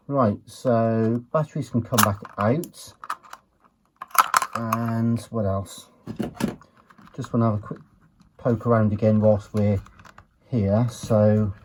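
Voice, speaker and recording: A man giving an unhurried, low-key, matter-of-fact commentary as he works on a gadget, muttering to himself at times. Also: a little mellow, a little hiss or hum. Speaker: retro electronics hobbyist presenter. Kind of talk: casual hands-on teardown commentary.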